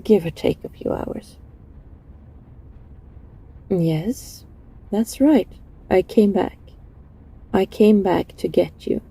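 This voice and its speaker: A woman acting as a very mellow husky female lover, slow halting delivery, emotional, flustered, desperately devoted, intimate audio roleplay. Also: medium pitch, exaggerated voice, rough recording. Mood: flustered, earnest. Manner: halting, emotional, breathy sighs and stammers. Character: lovesick time-travelling former bully, flustered, tearful, desperately devoted.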